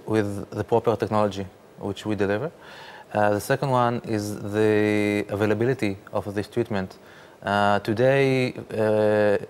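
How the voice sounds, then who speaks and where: A man, speaking haltingly with many fillers as a guest in a studio interview. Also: on the low side, a little hiss or hum. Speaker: interview guest, startup founder.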